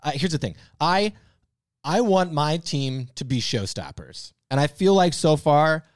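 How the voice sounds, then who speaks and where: A man hosting a podcast in casual, joking banter, talking emphatically with drawn-out stresses. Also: on the high side, lively intonation, dry recording, studio quality. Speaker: podcast host. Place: podcast recording space.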